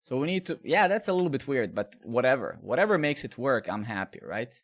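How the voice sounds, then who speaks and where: A middle-aged man from Serbia talks through his code on a live stream. His comes through in loose, rambling, profane chat aimed at his viewers, in a voice sitting at an ordinary middle pitch. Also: sing-song, fairly quick. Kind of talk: casual live-coding chat.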